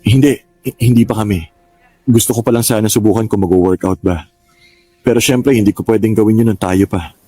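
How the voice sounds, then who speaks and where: A man playing a hesitant, apologetic younger boyfriend who speaks haltingly in a radio drama. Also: fairly low pitch, warm tone, natural voice. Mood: apologetic, gentle. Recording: music bed under voice.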